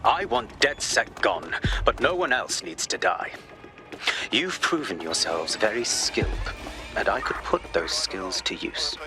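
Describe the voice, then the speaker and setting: Menacing government director, video game villain, oratorical, controlled, animated pitch, music underneath. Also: male voice, measured pace. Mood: calm persuasion. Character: authoritarian male counterterrorism director, calm, menacing villain.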